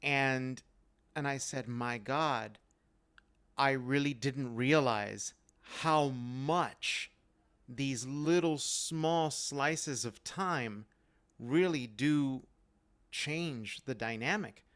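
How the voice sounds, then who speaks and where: A man, an actor on a panel, talking reflectively and deliberately over a video call. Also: mid-range voice, fairly bright tone, smooth.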